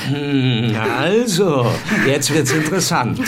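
Animated, pressing police interrogator. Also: male voice, fairly high pitch, slow pace, background noise. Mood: goading, impatient. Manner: goading, insistent. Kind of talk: German crime radio drama acting. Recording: music behind the voice.

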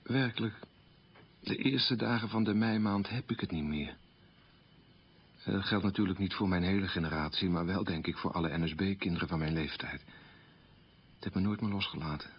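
A man's voice: deep voice, normal speed, pauses often, husky. An actor playing a guilt-ridden middle-aged man who confesses earnestly and reflectively in a radio drama monologue. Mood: troubled. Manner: earnest, self-reproaching, reflective. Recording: dull, muffled old radio recording.

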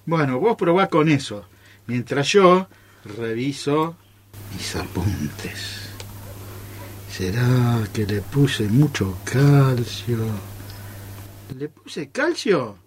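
A man's voice: medium pitch, very animated, very slow, long pauses, slight background noise. An Argentine man acting a reckless, bossy amateur scientist who brushes off every worry in a radio play. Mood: nervous improvising. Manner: hushing, dismissive, overconfident.

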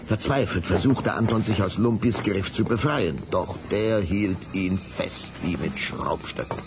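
A man's voice: low-pitched, measured pace, background noise. A man delivering scripted narration for an audio drama, in a storytelling style.

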